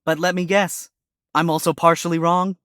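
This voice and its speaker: A man's voice: fairly high pitch, level tone, normal speed, a bit bright, clean tone, dry recording, voice pushed up, natural voice. A man voicing a sarcastic, wisecracking male fox defense attorney with a dry, exasperated delivery.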